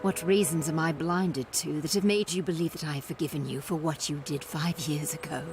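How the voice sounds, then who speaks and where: A woman's voice: low-pitched, steady tone, brisk. An actress playing a proud, bitter, street-wise rebel woman in a fantasy game, cutting and commanding in her delivery. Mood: bitter, furious. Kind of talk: dramatic fantasy game voice acting. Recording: music behind the voice.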